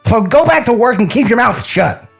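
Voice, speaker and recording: A man playing a gruff, sarcastic, exasperated studio boss in a comedy table read, blunt and scolding. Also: very high voice, sing-song, normal speed, fairly bright tone, faint music behind, full character voice. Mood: gruff, dismissive.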